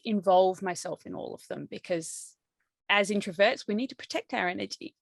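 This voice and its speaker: Woman host, enthusiastic and affirming, mid pitch, dry recording. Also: normal speed, vocal fry, dry recording. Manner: affirming, enthusiastic, laughs often. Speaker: interview host. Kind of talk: conversational interview.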